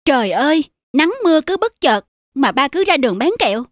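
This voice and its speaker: A man voicing an exasperated, status-conscious middle-aged son in an animated film. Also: very high voice, a little fast, no echo, studio quality, heavily acted. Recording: music behind the voice.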